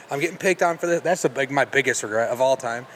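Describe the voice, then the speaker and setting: A young man hosting a casual podcast chat, quick and emphatic with slangy phrasing. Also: mid-range voice, fairly bright tone, slight background noise.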